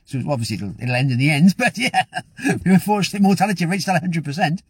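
Upbeat, slightly husky man. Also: fairly high pitch, lively intonation, quick pace, dry recording. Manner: upbeat, rambling with frequent restarts. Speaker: host of a motivational show. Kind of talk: casual motivational talk to camera.

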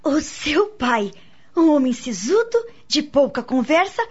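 A woman's voice: high-pitched, sing-song, measured pace. A woman playing a nervous, easily startled wife in a radio soap opera. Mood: hesitant, questioning. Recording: dull lo-fi radio recording.

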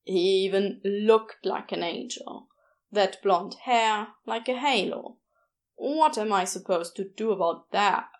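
A woman's voice: mid-range voice, slow pace, lightly acted. A woman voicing a grumpy, smitten, defensive male florist in an audiobook story, curt and exasperated.

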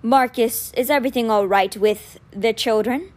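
A woman voicing a huge gray wolf in an audiobook, polite, careful and grave, with a gravelly voice. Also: on the high side, normal speed, lightly acted.

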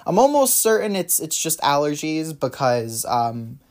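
A man hosting a solo talk show, rambling through his views with many fillers and restarts, his voice slightly raspy from a runny nose. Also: a little high, sing-song, measured pace. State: runny nose, maybe a cold. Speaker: podcast host. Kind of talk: casual solo political commentary.

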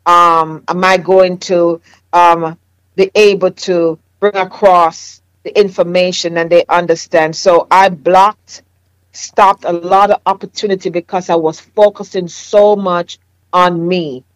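A woman's voice: on the low side, flat delivery, measured pace, no echo, poor audio. A woman with a Jamaican accent, a therapist sharing her faith story in an earnest, reflective conversation. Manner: earnest, reflective, frequent fillers. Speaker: therapist and business owner.

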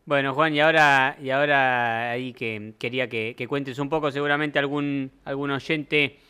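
A man hosting a radio interview, with a bright, smooth voice. He asks short, easy questions and keeps things moving with quick replies. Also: mid-range voice, steady tone. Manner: brief encouraging interjections. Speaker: radio host.

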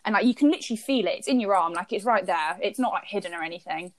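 A woman's voice: medium pitch, racing, no echo. A woman, co-hosting a radio show and telling stories in a chatty way, acting out the people she quotes. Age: early 20s. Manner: chatty storyteller, lively quoted voices. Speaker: radio co-host. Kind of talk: casual chat.

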